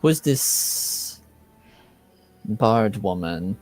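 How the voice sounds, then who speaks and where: A man's voice: fairly low pitch, expressive, very slow, a little mellow, faint music behind, exaggerated voice. A man playing a curious, playful young noblewoman in a tabletop game, casual and impish. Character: curious, playful young noblewoman, eavesdropping little sister type.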